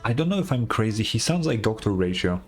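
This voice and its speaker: A woman's voice: extremely low pitch, sing-song, quick pace, faint music behind. A woman game streamer giving casual, informal live commentary with a wry, sweary manner.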